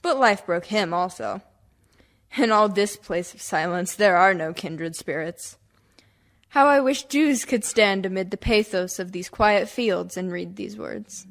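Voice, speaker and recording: A failed small-town actress, played by a woman, looks back with pride and regret. Her voice sits at a middle pitch, declaiming wistfully as in theatrical radio drama. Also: a little slow, natural voice. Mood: wistful, regretful. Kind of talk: theatrical monologue for radio play.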